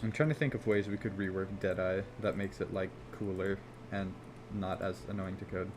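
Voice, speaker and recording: A man with a lowish, fairly monotone voice chats loosely while he codes on a live stream, swearing casually and circling back on his thoughts. A faint hiss of noise sits under the sound of his desk mic. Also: moderate pace. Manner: rambling, laid-back, swears casually, frequent "like" fillers. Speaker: game dev streamer.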